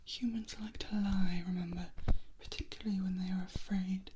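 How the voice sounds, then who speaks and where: A woman voicing a possessive female vampire in an ASMR roleplay, speaking in a soft breathy whisper with a formal, gently scolding manner. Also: mid-range voice, steady tone, normal speed, very noisy, lightly acted. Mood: reproachful, doting. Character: possessive female vampire, scornful of humans, doting.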